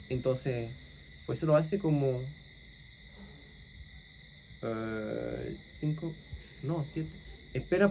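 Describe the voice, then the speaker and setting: A young English man chats to camera in Spanish that leans Argentine, his native English still underneath. His voice is mellow and dark, and he pauses often, correcting himself mid-thought. Faint insects and night sounds sit behind him. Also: on the high side, a little hiss or hum, low-quality recording. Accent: English speaker with Argentine-leaning Spanish. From England. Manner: thinking aloud, many fillers and self-corrections. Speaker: language-learning vlogger. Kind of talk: casual monologue to camera.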